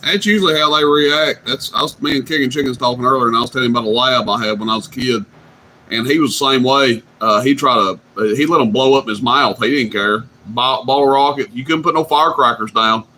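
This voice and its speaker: A man hosting a CB radio night, chatting casually and telling drawn-out stories. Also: medium pitch, sharp tone, a little hiss or hum, poor audio. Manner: drawling, easygoing storyteller. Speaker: CB radio host.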